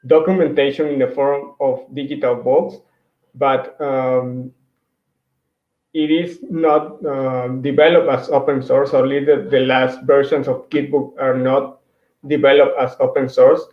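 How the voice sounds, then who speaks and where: A man's voice: medium pitch, little pitch movement, a little slow. Hesitant man. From Mexico. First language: Spanish. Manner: hesitant, many restarts and fillers. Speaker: conference speaker. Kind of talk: virtual tech talk with slides. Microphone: computer mic on a video call. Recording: roomy, dull lo-fi sound.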